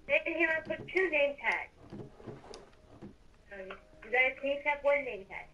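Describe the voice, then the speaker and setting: High, bright female gamer. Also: expressive, unhurried, dull audio, slight background noise. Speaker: fellow player in a game. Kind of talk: casual game chat.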